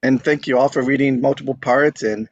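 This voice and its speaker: A man's voice: medium pitch, steady tone, fairly quick, dry recording, muffled recording. A man hosting a table read, talking in an informal, rambling way as he chats with the group. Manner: informal, rambling, quotes own thoughts. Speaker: host of a live script table read. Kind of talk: casual group chat.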